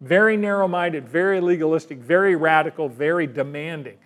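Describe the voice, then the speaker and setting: A man with a high-pitched voice delivers a sermon. His preaching is emphatic and keeps turning to the congregation with rhetorical questions. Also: brisk, fairly bright tone. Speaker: pastor.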